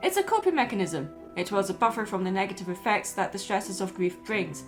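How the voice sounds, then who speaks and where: A woman's voice: medium pitch, sing-song, fairly quick, music in the background. A woman hosting and narrating a scripted video essay.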